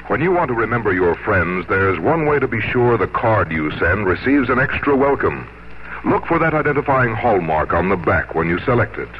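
A man's voice: fairly low pitch, big pitch swings, moderate pace, slight background noise. An American man announcing and reading sponsor messages on 1950s radio in a polished, formal style. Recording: muffled 1950s radio broadcast.

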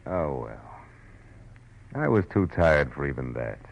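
A man's voice: extremely low pitch, big pitch swings, a little slow, gravelly, lo-fi sound, full character voice. An American man playing a weary, cynical hard-boiled detective in 1940s radio drama, clipped and sardonic. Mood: weary narration. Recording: old radio broadcast with music.

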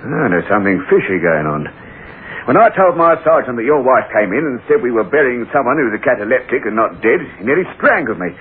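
An actor playing an officious, exasperated police constable in a 1960s radio drama. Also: male voice, medium pitch, narrow, tinny audio, close to an everyday voice. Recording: noisy band-limited old radio recording.

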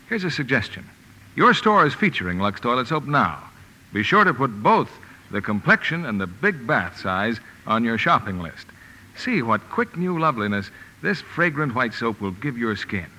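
A man's voice: mid-range voice, sharp tone. A man announcing scripted 1950s radio advertising with an emphatic sales delivery. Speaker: commercial announcer. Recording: vintage radio broadcast, hall reverb.

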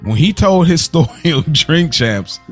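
A man's voice: a little high, very animated, measured pace, faint music behind. An American man co-hosting a podcast, giving his opinions in casual slang and repeating words for emphasis. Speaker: podcast co-host.

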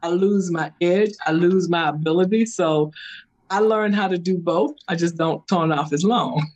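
A middle-aged woman, telling stories as a meditation guide in an interview, and she laughs often and slips into high-pitched voices when she quotes someone. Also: normal speed, a little mellow, muffled recording. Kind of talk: animated conversational storytelling.

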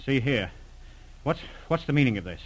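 A man's voice: medium pitch, very animated, moderate pace, breathy edge, rough recording. A man playing an educated Boston doctor, formal and a little hesitant, in 1940s radio drama style. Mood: indignant. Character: educated Boston doctor, indignant but obliging. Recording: old radio serial recording.

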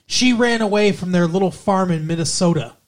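A man's voice: sits high, brisk. Man rambling through a movie plot. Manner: rambling, restarts often, explains plot. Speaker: podcast co-host. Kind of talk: casual movie review chat.

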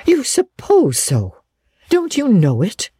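A woman's voice: fairly low pitch, very animated, normal speed, warm tone, slightly rough, no echo, pitched above the performer's own voice. A woman voicing a stern, exasperated middle-aged farm woman in an audiobook, with curt, emphatic scolding. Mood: indignant. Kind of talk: audiobook character acting.

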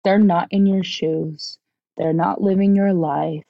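A woman in her twenties, a podcast guest in casual chat, animated and swearing now and then as she acts out what people said. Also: on the low side, unhurried, gravelly, dry recording, dull audio. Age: 20s.

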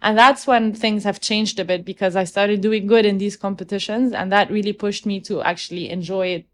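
A woman's voice: mid-range voice, fairly even intonation, brisk. A young woman from Egypt, a guest in an interview, speaking thoughtfully and often restarting her sentences. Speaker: guest, opera singer. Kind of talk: casual interview conversation.

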